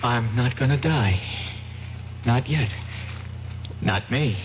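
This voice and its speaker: A man's voice: medium pitch, slow, close to an everyday voice. An actor in an old-time radio drama playing a desperate, short-tempered young man, curt, snapping and stubborn. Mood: grim defiance. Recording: muffled vintage radio, faint noise.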